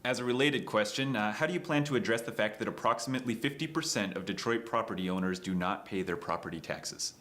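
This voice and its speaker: A man working as an interviewer, asking questions in a relaxed, conversational way with fillers. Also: on the low side, fast talker, hardly any pauses.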